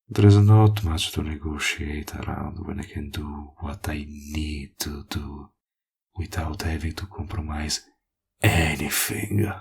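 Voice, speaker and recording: A man playing a smug, possessive villain in an audio roleplay, flirtatious and menacing, with a husky, breathy voice and a slow, teasing delivery that dips toward whispers. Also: very deep voice, exaggerated voice. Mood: cool menace. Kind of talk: roleplay audio acting, intimate monologue.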